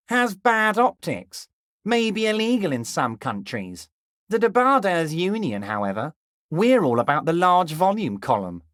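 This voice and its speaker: A scheming, jovial union boss from a video game, smooth and folksy with an ingratiating, salesman-like charm. His pitch swings widely, rising brightly then sinking into a low, confiding murmur. The voice sits dry and close, with no room around it. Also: no room sound, heavily acted. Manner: drops to a deep, confiding murmur on names. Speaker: union leader.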